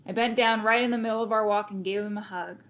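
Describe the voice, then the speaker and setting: Female storybook reader, mid-range voice, expressive first-person delivery for a children's novel. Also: medium pitch, quick pace.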